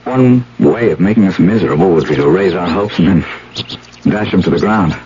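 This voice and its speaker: An actor playing a determined, impatient scientist in love, in an old-time radio drama. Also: male voice, deep voice, lively intonation, brisk, warm tone. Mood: cold, wary. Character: determined, impatient male rat researcher in love. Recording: muffled, noisy old radio broadcast.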